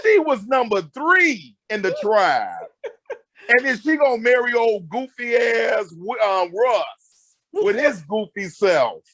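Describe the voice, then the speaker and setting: High-pitched, animated middle-aged man, loud and emphatic. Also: slow, dry recording. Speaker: guest marriage counselor and mentor. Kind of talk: animated conversational advice talk.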